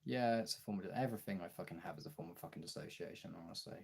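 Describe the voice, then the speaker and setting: A man with a gravelly, husky voice in a casual, personal chat to viewers, halting and candid, swearing often. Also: low voice, lively intonation, darkish tone. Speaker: streamer.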